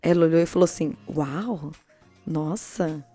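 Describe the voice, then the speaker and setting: A woman's voice: deep voice, measured pace, warm tone, soft background music. A woman with a Brazilian accent telling a story casually and playfully, in colloquial language, acting out the dialogue as she goes. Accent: Brazilian Portuguese. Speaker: podcast host telling listeners' dating stories. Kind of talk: casual comic storytelling chat.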